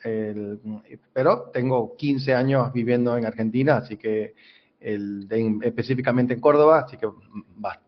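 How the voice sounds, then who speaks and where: This is a man speaking as a guest expert in an interview. He explains technical ideas in halting runs, often repeating words and filling pauses. The audio is a little dull and lo-fi. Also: mid-range voice. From Venezuela. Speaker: civil engineer, guest expert.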